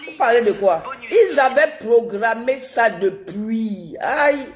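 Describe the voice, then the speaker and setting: Woman, head cold, indignant live-stream rant, slow unhurried delivery, pitch swinging up and down, scolding rhetorical questions. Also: animated. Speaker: live streamer commenting on politics.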